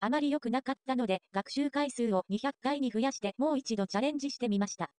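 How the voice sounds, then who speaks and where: A woman reading scripted narration for a software tutorial. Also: high voice, quick pace, dry recording.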